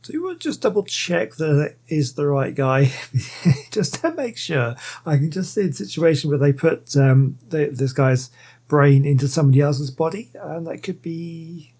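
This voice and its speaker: A man's voice: a little high, expressive, normal speed, darkish tone, breathy edge. A man giving casual, easygoing, rambling let's play commentary as a gaming channel host.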